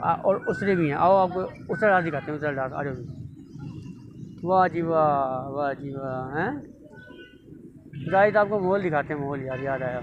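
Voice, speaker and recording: A man's voice: a little high, normal speed, pauses often. A young man chats casually as a vlogger, repeating phrases and mixing in English catchphrases. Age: young adult. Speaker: vlogger, host. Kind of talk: casual outdoor vlog commentary. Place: outdoors in a public park. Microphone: phone at arm's length. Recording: dull lo-fi phone audio, noisy park.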